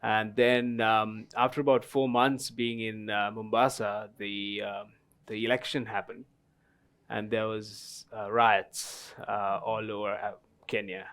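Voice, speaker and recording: Low-voiced, smooth man telling stories. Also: flat delivery, unhurried, a bit bright. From Sri Lanka. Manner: storytelling, many fillers, laughs at own story. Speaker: tea company guest. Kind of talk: festival panel talk. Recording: live festival stage talk.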